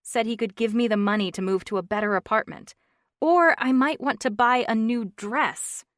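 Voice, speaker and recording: Woman doing a thirty-something woman, wry, exasperated, fairly high voice, dry sound. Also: moderate pace, no room sound, close to an everyday voice. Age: 30s.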